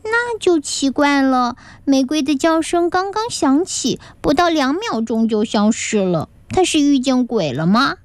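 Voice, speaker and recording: A woman's voice: high-pitched, big pitch swings, unhurried, hardly any pauses, no echo. A woman voicing a pouty, teasing young child who turns whiny and squealing, in a children's story. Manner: whiny, squealing when upset.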